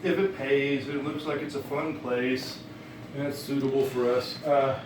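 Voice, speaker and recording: A man giving a casual, halting demonstration of sound gear, often repeating and rephrasing himself. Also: medium pitch, little pitch movement, distant mic. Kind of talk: casual explanatory demonstration chat. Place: dining room at home, indoors. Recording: roomy, noisy home recording off mic.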